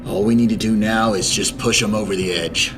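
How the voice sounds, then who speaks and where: A man's voice: lowish voice, steady tone, a little fast, music in the background, natural voice. A young man playing a commanding, calculating mastermind in an old-time radio drama. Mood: urgent, resolute. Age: young adult. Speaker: student actor. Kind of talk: 1940s radio drama acting.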